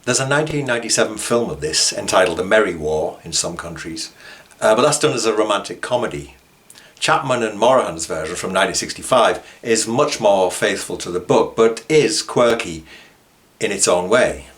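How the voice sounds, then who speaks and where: Male presenter, low voice, informal documentary commentary. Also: animated, moderate pace, fairly bright tone. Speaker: author and presenter.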